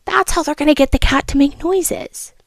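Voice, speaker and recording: A woman reading a children's storybook aloud, expressive and teacherly, with asides and questions for the listener. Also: sits high, moderate pace, creaky, no echo, studio quality.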